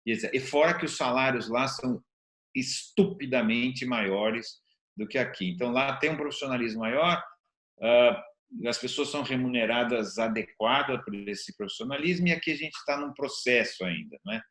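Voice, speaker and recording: A Brazilian man with a medium-pitched voice chats casually in an interview. His phrasing is loose and halting, sprinkled with colloquial asides. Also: a bit bright.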